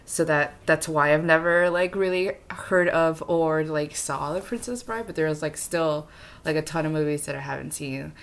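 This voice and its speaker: Low-pitched young woman chatting casually. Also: normal speed, vocal fry. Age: young adult. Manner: peppers talk with "like", acts out quoted reactions. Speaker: podcast co-host. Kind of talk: casual chat.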